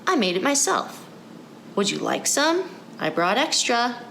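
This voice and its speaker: A woman's voice: fairly high pitch, wildly swooping pitch, measured pace, vocal fry, fairly bright tone, voice pushed up, full character voice. A woman voicing a proud, unbothered young schoolgirl in a storybook, cheerful and insistent as she explains. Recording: roomy echo, background noise.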